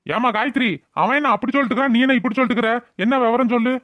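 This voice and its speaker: A man's voice: very high-pitched, fairly even intonation, racing, fairly bright tone, no echo. A man voicing a stern village council elder in a cartoon, exasperated and interrogating. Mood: stern, questioning. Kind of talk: cartoon village drama voice-over.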